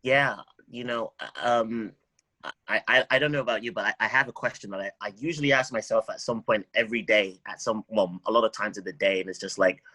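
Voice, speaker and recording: A man speaking as a songwriter guest in an interview, reflective and halting, often repeating words as he thinks. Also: fairly low pitch, pushed and bright, no room sound, poor audio.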